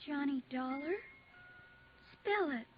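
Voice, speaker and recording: High-voiced little girl, old radio. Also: takes it slowly, soft background music. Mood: earnest, chatty. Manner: childlike, artless, forthright. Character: chatty, earnest little girl. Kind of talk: 1950s radio drama acting. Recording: muffled, noisy 1950s radio recording.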